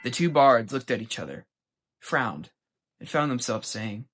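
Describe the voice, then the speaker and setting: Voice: mid-range voice, very animated, unhurried, fairly bright tone, slightly rough, soft background music. A teenager from the United States with an American accent, giving a dramatic scripted reading of a short story. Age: teens. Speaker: young writer reading own story.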